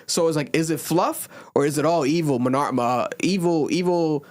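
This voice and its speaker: A man's voice: moderate pace, no room sound. Upbeat young man hosting a podcast. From Rhode Island, USA. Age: 20s. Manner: animated, swings high when joking. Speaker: podcast host. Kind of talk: casual podcast chat. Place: home recording during a blizzard.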